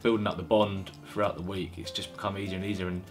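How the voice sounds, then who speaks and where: A man in his thirties with a monotone, mumbling way of talking, interviewed indoors about learning with his guide dog. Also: low voice, fast talker, faint music behind. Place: indoor room by blinds.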